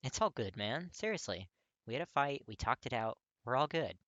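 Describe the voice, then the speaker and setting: A man's voice: medium pitch, big pitch swings, normal speed, a bit bright, dry recording, muffled recording, voice pushed up. A man voicing an earnest, friendly teenage boy in a casual, eager, emphatic way for a story reading. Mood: friendly, reassuring.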